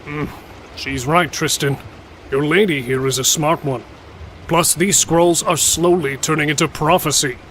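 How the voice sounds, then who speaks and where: A man playing an irreverent smith and lore keeper explaining dark history in a fantasy audio drama. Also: on the high side, steady tone, a little slow, a little hiss or hum, rough recording. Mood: reassuring.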